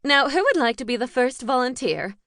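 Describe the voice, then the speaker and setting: A woman voicing a stern, imposing older woman teacher of witches, speaking in a formal, crisp and commanding way. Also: high voice, sing-song, a little fast, fairly bright tone, no room sound, studio quality, pitched above the performer's own voice, heavily acted. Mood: composed.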